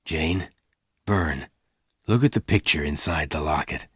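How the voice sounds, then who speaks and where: A man voicing a gruff but gentle gunman in a storybook, speaking softly, deliberately and solemnly. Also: a bass voice, steady tone, no room sound, studio quality, voice pushed down, exaggerated voice. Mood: gentle, grave. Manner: soft, deliberate, solemn delivery. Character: gruff, gentle older gunman, quiet and grave. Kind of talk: dramatised audiobook dialogue.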